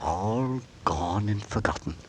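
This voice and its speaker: An actor playing an anxious, tender husband in a 1940s radio suspense play, with a melodramatic delivery. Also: male voice, on the low side, animated, slow. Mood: tender, reassuring. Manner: melodramatic, genteel, hesitant in dialogue. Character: anxious, tender husband narrating his own mysterious illness. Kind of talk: 1940s radio suspense acting, first-person narration and dialogue. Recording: vintage radio drama with music underneath.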